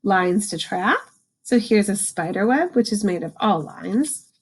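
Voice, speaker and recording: A woman reading a picture book aloud to children, adding informal explanations and slowing down for the book's lines. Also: medium pitch, animated, breathy edge. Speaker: read-aloud host, teacher for children.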